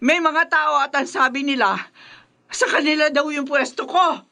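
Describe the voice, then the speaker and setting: A woman's voice: high voice, steady tone, moderate pace, bright, forceful tone. An actress playing a distressed elderly mother and grandmother in a Filipino radio drama, pleading with tearful emotion in a Filipino accent. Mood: panicked pleading.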